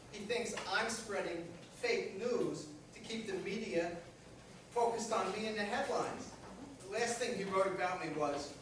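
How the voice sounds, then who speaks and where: A man's voice: sits high, recorded from a distance. A man playing a defensive, self-important aging superhero who is out of work, in a staged comedy play reading. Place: echoey room at a live staged reading. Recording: very echoey hall from afar, faint noise.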